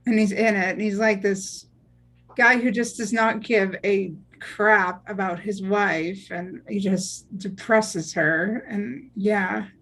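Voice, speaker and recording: A woman's voice: mid-range voice, steady tone, slow pace, fairly bright tone. A woman chatting casually in an effusive, emphatic, rambling way on an online group call. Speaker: table read participant.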